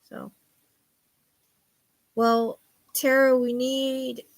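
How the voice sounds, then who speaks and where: Middle-aged woman, psychic show host, loud voice, rambling confessional chat. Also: high voice, little pitch movement, drawn-out words, gravelly, low-quality recording. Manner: rambling, confiding, trails off quietly. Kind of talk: casual chat.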